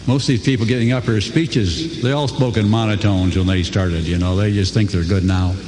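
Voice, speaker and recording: An older man giving a folksy, earnest motivational speech to a crowd, his pitch swooping for emphasis. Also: steady tone, brisk, noisy background. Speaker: company co-founder speaking to a crowd. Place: large hall before an audience. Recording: echoey hall, faint ambience.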